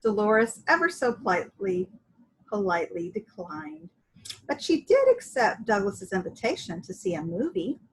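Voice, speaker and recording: A woman reading a children's story aloud in an expressive, dramatic and deliberate way, with a husky voice. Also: medium pitch, a little hiss or hum, rough recording. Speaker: storybook reader.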